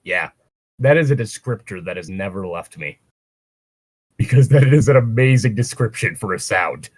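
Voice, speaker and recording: A man's voice: on the low side, lively intonation, moderate pace, slightly rough, no room sound. A man reading fan fiction aloud with casual, joking banter and digressive commentary. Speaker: co-reader and commentator.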